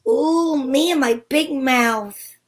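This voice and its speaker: A woman's voice: high voice, slow pace. A woman playing a breezy, wisecracking private detective in an amateur old-time radio drama, wry and playful.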